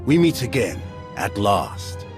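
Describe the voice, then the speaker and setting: An actor playing a grave, stern ancient warrior in a video game, speaking solemnly and formally. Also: male voice, fairly low pitch, sing-song, takes it slowly, over music. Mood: grave, ominous. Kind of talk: dramatic video game acting.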